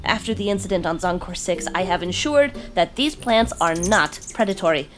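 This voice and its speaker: A woman's voice: on the low side, moderate pace, lightly acted. A woman voicing an earnest, suspicious alien posing as a preteen boy, with stiff, formal, literal speech in an audio drama. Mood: secretive, reporting.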